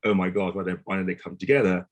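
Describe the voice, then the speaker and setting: Low husky guy on a panel, musing out loud, lots of "you know". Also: little pitch movement, fast. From African diaspora. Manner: thoughtful, uses filler words. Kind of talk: casual discussion. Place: London.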